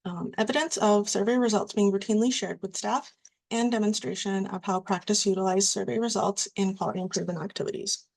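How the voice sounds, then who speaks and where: A woman presenting a webinar, reading from slides in a steady, scripted way. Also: medium pitch, a little fast, vocal fry, low-quality recording.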